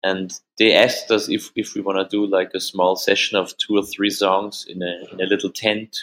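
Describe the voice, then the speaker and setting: A man from Austria whose first language is German, speaking English with an Austrian German accent as an interview guest, halting and repeating words, often laughing. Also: low voice, flat delivery, fairly bright tone, low-quality recording. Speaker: musician guest. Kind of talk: casual interview answers.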